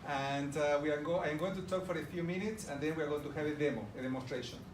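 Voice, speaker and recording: A man's voice: on the high side, quick pace. Argentine man presenting in an echoey room. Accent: Argentine Spanish. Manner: warm, enthusiastic, addresses the audience directly. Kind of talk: conference presentation to a live audience. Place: large room with an audience. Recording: roomy, distant audio with background noise.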